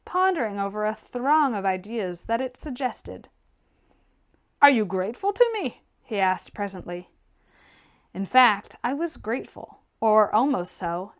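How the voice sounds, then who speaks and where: A woman narrating a classic novel as an audiobook reader, in an expressive literary style. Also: on the high side.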